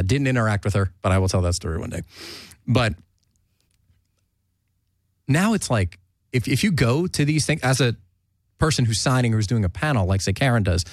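A man's voice: on the low side, lively intonation, normal speed, pauses often, no room sound, studio quality. Chatty man with a rambling delivery. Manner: rambling, self-interrupting, laughs often. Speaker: podcast host. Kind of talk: casual chat.

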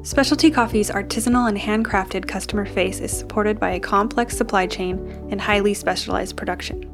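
A woman hosting a podcast, reading a scripted introduction in a smooth, even manner. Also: medium pitch, a little fast, over music. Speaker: podcast host.

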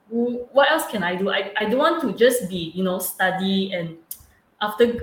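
A young woman with a Malaysian accent, answering interview questions casually in halting, repetitive phrasing. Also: mid-range voice. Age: young adult. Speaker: student entrepreneur being interviewed. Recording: echoey room with clear background noise.

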